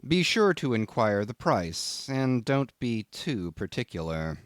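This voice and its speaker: A man's voice: medium pitch, sing-song, a little slow, dry recording, clean studio sound. A man voicing a wry, poor sailor who talks to himself in exclamatory, old-fashioned, self-mocking phrases for an audiobook. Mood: self-admonishing.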